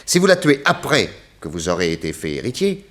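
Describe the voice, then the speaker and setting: A man's voice: low-pitched, wildly swooping pitch, fairly quick, fairly bright tone, exaggerated voice. A man playing a confident detective in a 1960s French radio drama, speaking in a theatrical, emphatic, methodical way. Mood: relentless exposition. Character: confident male detective laying out an accusation.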